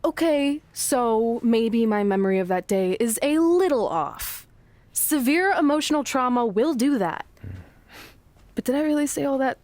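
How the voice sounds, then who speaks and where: A woman's voice: a little high, expressive, unhurried, a little mellow, dry recording. A woman playing a loyal, sarcastic young woman wrestler who encourages her friend in a video game cutscene. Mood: wry. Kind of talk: scripted game cutscene acting.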